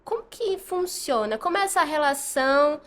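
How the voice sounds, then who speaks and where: A woman from Brazil hosting a podcast interview, asking her guest friendly, measured questions. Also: sits high, animated, a bit bright. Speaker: podcast host.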